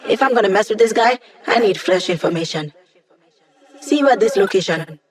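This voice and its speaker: A woman's voice: medium pitch, expressive, a little fast, lightly acted. A woman voice actor playing an upbeat, wisecracking young woman hacker in a video game, casual, playful and teasing. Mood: upbeat, determined.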